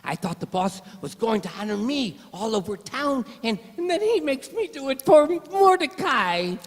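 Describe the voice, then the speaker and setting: A man's voice: very high voice, very animated, moderate pace, exaggerated voice. A man playing a flustered henchman in a 1930s-style gangster radio comedy. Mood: upset, whining. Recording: echoey hall, long reverb.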